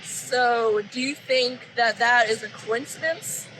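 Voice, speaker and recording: A woman student asking a probing question in a campus debate, pausing on fillers as she goes. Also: on the high side, fairly bright tone, slight background noise, low-quality recording.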